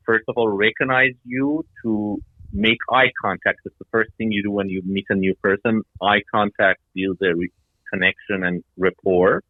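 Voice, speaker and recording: A man's voice: on the low side, dry recording. A middle-aged man from Iran and Denmark giving explanatory answers as an interview guest. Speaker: guest, startup founder. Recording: tinny phone-like line.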